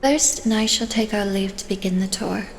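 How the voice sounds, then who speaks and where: A woman playing a reserved, dutiful fighter in an audio drama, speaking in an even, formal manner. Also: mid-range voice, moderate pace, creaky, natural voice. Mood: dutiful, polite. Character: reserved, dutiful female long-range fighter. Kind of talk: scripted fan audio drama acting. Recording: reverberant hall, faint noise.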